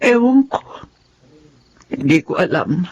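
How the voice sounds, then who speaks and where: An actress playing an elderly, stubborn and sentimental lifelong housemaid in a radio drama. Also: female voice, low-pitched, very animated, takes it slowly, a little mellow, lo-fi sound, slight background noise, full character voice. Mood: troubled. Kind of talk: Tagalog radio drama acting. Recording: music under the voice.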